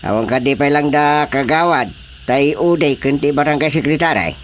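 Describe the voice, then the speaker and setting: A man's voice: fairly high pitch, normal speed, a little hiss or hum, lightly acted. An actor with a Filipino accent playing a bossy, exasperated older village chairman in a radio comedy drama. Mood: irritated, bossy. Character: grumbling, bossy male village chairman, a father.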